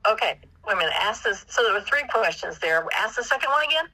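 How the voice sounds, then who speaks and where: Casting: Southern American woman, 50s, bright tone in a dry, close sound, casually answering family interview questions, thinking out loud. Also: medium pitch, animated, fast talker, no room sound, low-quality recording. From South Carolina, United States.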